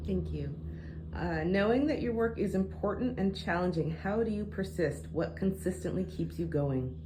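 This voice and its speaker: A woman interviewer asking polite, measured questions. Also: lowish voice, expressive, noisy background.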